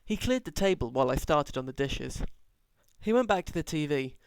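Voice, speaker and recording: A man's voice: fairly high pitch, big pitch swings, moderate pace, clean tone, no echo. A man narrating an audiobook as an amateur reader, sarcastic, with mocking, exaggerated emphasis.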